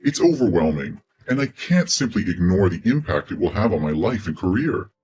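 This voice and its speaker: A man's voice: low voice, huge pitch swings, moderate pace, darkish tone, faint music behind. An actor in his twenties playing a selfish, career-focused young man who speaks in a defensive, dismissive way. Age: 20s. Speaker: reluctant boyfriend. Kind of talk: scripted relationship drama.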